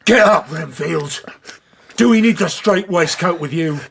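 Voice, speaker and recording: A man's voice: a little high, unhurried, vocal fry, fairly bright tone, a little hiss or hum. An actor playing a dutiful, firm male asylum attendant in a radio drama, deferential yet brusque. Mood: stern. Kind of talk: radio horror drama acting.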